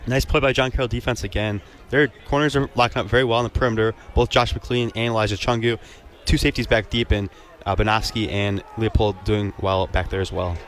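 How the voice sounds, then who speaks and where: A man's voice: on the low side, steady tone, brisk, fairly bright tone. A man giving informal, plain-spoken color analysis during a live radio football broadcast. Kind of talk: live sports analysis, conversational.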